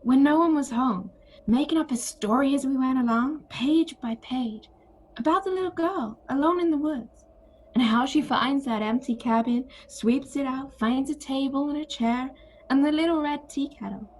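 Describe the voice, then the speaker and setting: Woman acting a high-voiced, gentle sister. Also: normal speed, close to an everyday voice, poor audio. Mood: nostalgic. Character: gentle, conciliatory grown-up sister, imaginative and nostalgic. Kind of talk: audio play dialogue.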